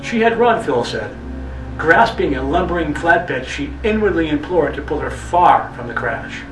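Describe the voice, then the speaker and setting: A man with a fairly high voice performs a brooding literary monologue, swooping widely in pitch. His phrasing is theatrical and deliberate. The sound is echoey, with music underneath. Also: very animated, far from the mic. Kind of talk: dramatic literary monologue narration.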